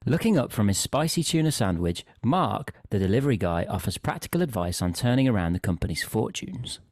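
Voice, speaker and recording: Man, scripted narration, lower pitch, expressive intonation, storyteller. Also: fairly quick, no room sound, studio quality.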